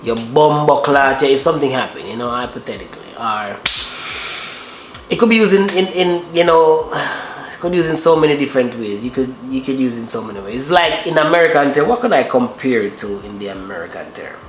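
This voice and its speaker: A man's voice: medium pitch, animated, a little fast, reverberant, a crowd in the background. A man from Jamaica with a Jamaican accent, explaining his language on a casual couple vlog and repeating himself while searching for words. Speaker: co-host explaining Jamaican phrases.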